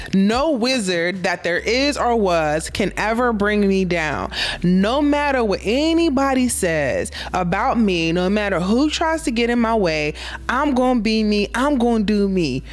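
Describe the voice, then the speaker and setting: Expressive woman reviewing a film. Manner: expressive, conversational, acts out quotes with big pitch jumps. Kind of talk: casual reflective monologue. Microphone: handheld mic.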